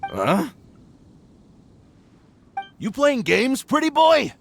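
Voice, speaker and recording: A man's voice: extremely high pitch, huge pitch swings, very slow, vocal fry, slight background noise, exaggerated voice. A man voicing a cocky, sneering bandit gang boss in a video game, with taunting, slangy tough-guy talk. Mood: mocking. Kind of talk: animated game dialogue. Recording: game music behind voice.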